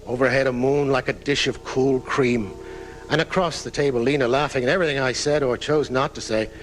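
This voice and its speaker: A man's voice: mid-range voice, fairly even intonation, fairly quick, close to an everyday voice. An actor playing an aging famous writer in a live radio drama, telling his story in a florid, dramatic way. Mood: grandly reminiscing. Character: aging famous male writer recounting his own murder, theatrical. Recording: noisy 1980s off-air radio recording.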